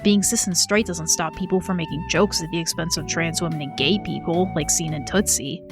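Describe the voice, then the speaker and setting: A woman narrating a scripted video essay in a measured, earnest way. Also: fairly low pitch, wildly swooping pitch, music in the background.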